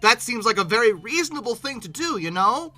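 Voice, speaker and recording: An exasperated commentator giving an informal, unscripted reaction, animated and often stumbling over repeated words in disbelief. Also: mid-range voice, faint music behind. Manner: exasperated, incredulous, animated with abrupt outbursts; halting, repeating words when flustered. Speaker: video creator hosting a reaction commentary.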